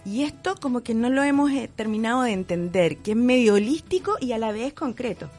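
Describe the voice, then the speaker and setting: A woman's voice: on the high side, expressive, brisk, a little mellow, faint music behind. A woman with a Chilean accent, speaking as a guest in a casual radio interview and explaining her products with enthusiasm and some laughter. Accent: Chilean Spanish. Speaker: guest entrepreneur.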